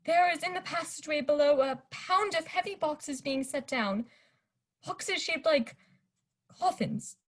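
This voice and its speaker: A young woman playing a frightened young male traveler who reads out his diary in a student radio play. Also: high voice. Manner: earnest diary narration, rising to panic.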